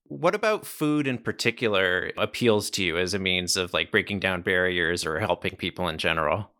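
A male podcast host asking interview questions in a clear, measured way and reading the show's outro. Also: low-pitched, animated, pushed and bright.

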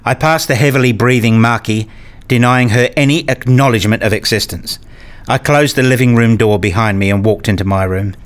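A man's voice: mid-range voice, a little fast, lightly acted. A man playing a brooding, rule-obsessed husband and father in a radio play, speaking in an introspective, pedantic way. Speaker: narrator and lead character. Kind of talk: first-person radio play narration and dialogue.

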